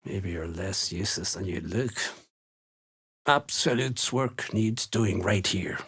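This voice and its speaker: Gravelly, surly dwarf slave hunter. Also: male voice, sits low, takes it slowly, a bit bright, heavily acted. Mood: surly, sardonic. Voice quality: gravelly, husky. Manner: sneering, blunt, clipped. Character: surly male duergar slave hunter, menacing and contemptuous. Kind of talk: video game character voice lines.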